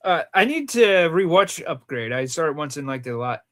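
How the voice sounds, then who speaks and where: A man's voice: on the high side, very animated, brisk, a bit bright. A man with a Rhode Island accent, chatting casually and teasingly as co-host of a movie countdown show. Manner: joking, teasing. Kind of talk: casual film chat.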